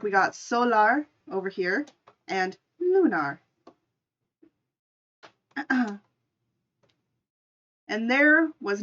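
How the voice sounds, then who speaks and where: A woman's voice: medium pitch, a bit bright. Casual woman streamer, slightly dull audio. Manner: informal, chatty, loosely improvised. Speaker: streamer telling a story while drawing. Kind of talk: casual livestream storytelling and chat.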